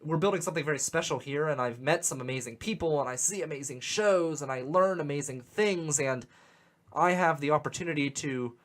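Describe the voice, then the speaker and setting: A young man with a Western Pennsylvania accent hosting a casual radio talk show, animated and opinionated, often repeating words as he gets going. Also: on the high side, brisk. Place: radio studio. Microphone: studio microphone.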